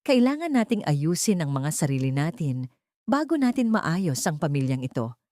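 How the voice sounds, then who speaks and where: A woman voicing a caring, understanding housemaid in an audiobook drama, calm, measured and gently firm. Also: low voice, no echo, clean studio sound. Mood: calmly firm.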